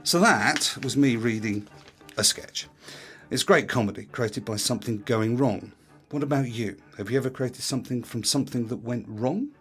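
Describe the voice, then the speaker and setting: A man's voice: medium pitch, moderate pace, fairly bright tone, slightly rough, faint music behind. A man hosting a podcast, delivering a scripted, confiding monologue in a reflective, plain-spoken way. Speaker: podcast host.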